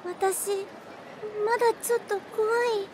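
A woman playing a timid, gentle schoolgirl apprentice witch in an anime-style voice drama, hesitant and soft-hearted. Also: extremely high pitch, flat delivery, slow pace, slight background noise. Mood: anxious.

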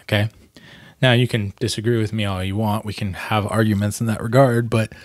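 A man's voice: mid-range voice, dry recording. Earnest man, close dry mic. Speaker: vlog host and storyteller. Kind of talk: storybook reading and candid commentary. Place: small plain room indoors. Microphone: desk microphone, close.

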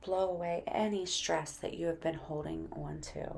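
A woman narrating a guided meditation in a gentle, soothing, unhurried manner. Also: lowish voice, fairly even intonation, creaky, a little hiss or hum.

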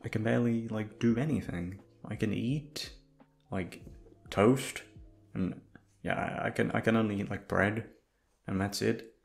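A man talks informally to his listeners in a rambling, casual chat. His voice has noticeable fry, and he swears offhandedly. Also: on the low side, fairly even intonation, unhurried, faint music behind. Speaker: voice actor addressing listeners.

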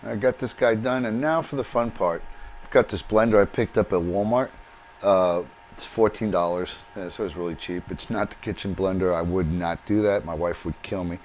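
A man's voice: low-pitched, fairly even intonation, moderate pace, slight background noise. A man hosting a do-it-yourself video, explaining each step as he works with his hands at a workbench in his home workshop. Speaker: DIY channel host. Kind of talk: hands-on how-to demonstration.

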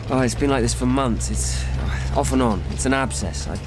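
A man's voice: medium pitch, normal speed, music in the background, close to an everyday voice. An actor playing a put-upon, dutiful male personal assistant in a radio drama, weary and obedient. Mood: pained. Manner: obedient, apologetic. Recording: clear background and vehicle noise.

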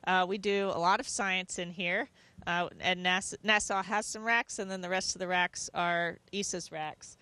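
A woman's voice: fairly low pitch, fairly even intonation, moderate pace, pushed and bright, no echo. A woman, around her forties, speaking as an astronaut in an interview, explaining things conversationally into a handheld microphone. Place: inside a space station module.

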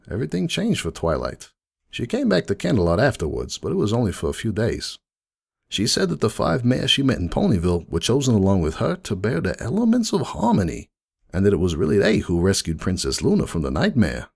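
A man's voice: lowish voice, wildly swooping pitch, fairly quick, gravelly, darkish tone, slightly rough, exaggerated voice. A man voicing a friendly, nostalgic unicorn donut shop owner in a dramatic fan fiction reading, chatty and folksy like someone reminiscing. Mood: proud, nostalgic.

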